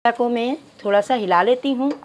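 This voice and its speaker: A woman's voice: a little high, moderate pace, darkish tone, slight background noise. A woman narrating a cooking tutorial step by step, addressing viewers politely. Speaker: cooking channel host.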